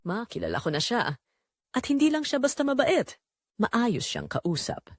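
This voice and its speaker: A woman performs as a young woman in love in a romance audio drama. She is tender yet firm and earnest, with expressive pitch. The sound has very little echo. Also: unhurried, studio quality, heavily acted.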